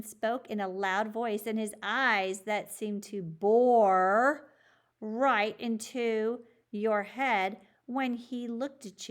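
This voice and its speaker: A woman reading a children's book aloud in a measured, clearly phrased storytelling delivery. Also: mid-range voice.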